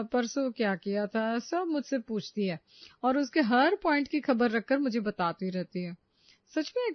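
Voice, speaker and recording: Woman plays chatty young working woman, cartoon story; fairly high voice, irritated, complaining, dry recording. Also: dry recording, muffled recording.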